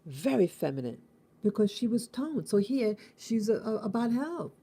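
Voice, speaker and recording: A woman in her fifties from the United States, hosting a casual morning reflection, thinking aloud with halting restarts and repeated words. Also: fairly high pitch, big pitch swings, warm tone, breathy edge. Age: 50s. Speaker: host.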